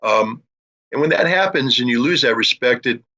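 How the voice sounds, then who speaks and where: An older or middle-aged American man discussing geopolitics in a deliberate, explanatory way, as an interviewer with military experience. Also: mid-range voice, expressive, bright, forceful tone, muffled recording.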